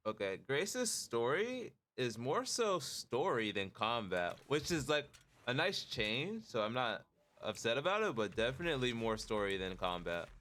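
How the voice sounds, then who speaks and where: A man's voice: medium pitch, sing-song, measured pace, fairly bright tone. A male streamer giving casual, amused commentary while playing a story game. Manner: chatty, amused asides.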